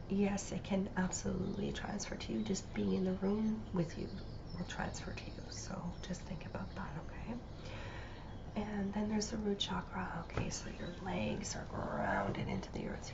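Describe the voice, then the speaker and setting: Muffled, creaky-voiced woman teaching informally. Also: mid-range voice. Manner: rambling, searching for words. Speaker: reiki master leading a spiritual healing session. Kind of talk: informal spiritual teaching, unscripted. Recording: muffled, noisy lo-fi recording.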